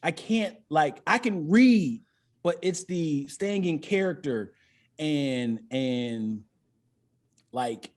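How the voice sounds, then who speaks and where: A man with a high voice chats casually as a comedian guest on a podcast. His pitch moves around in a lively way, and he swears freely while stumbling over repeated words as he builds a thought. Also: extremely slow. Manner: animated, profane, stammering with repeated words.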